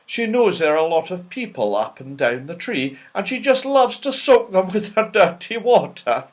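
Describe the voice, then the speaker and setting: Man doing a friendly tiny lady elf, storybook style, chatty, high and swoopy. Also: sing-song, moderate pace. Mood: amused warning. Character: tiny friendly golden-haired female elf.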